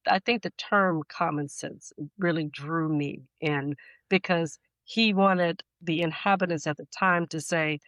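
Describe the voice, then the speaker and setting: A woman's voice: lowish voice, fairly bright tone, no room sound. An American woman talking as a guest poet in a conversational interview, explaining her ideas thoughtfully and with emphasis.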